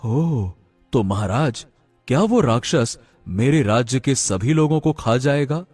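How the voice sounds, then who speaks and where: A man playing a worried, solemn king in an animated moral story, grave, halting and respectful. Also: mid-range voice, breathy edge, dry recording, faint music behind. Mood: alarmed. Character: worried, solemn king burdened by a demon's threat.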